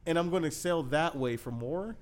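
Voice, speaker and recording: A man hosting a casual conversation, thinking out loud and asking questions, often laughing. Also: fairly high pitch, moderate pace. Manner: reflective, laughs often, uses "like" and "right?". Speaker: podcast host.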